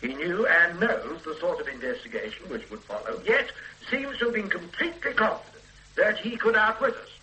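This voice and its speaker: An actor playing a measured, dryly authoritative police detective in a radio drama. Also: male voice, high-pitched, big pitch swings, pushed and bright, full character voice, poor audio. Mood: briefing. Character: methodical middle-aged police detective superintendent. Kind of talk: 1970s radio drama acting.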